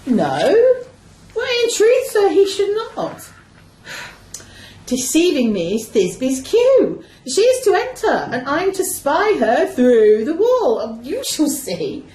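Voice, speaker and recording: A woman's voice: high-pitched, sing-song, slow, darkish tone, exaggerated voice. A woman playing an overwrought young male lover in an amateur Shakespeare radio play, declaiming melodramatically. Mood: earnest, explaining. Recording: roomy, echoing amateur recording.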